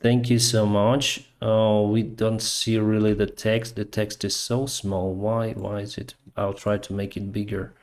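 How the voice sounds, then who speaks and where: Man, Ukrainian accent, live stream host, casual commentary, very slow, lowish voice, hesitant, lots of fillers. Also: level tone, a little mellow. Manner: hesitant, searching for English words, many fillers.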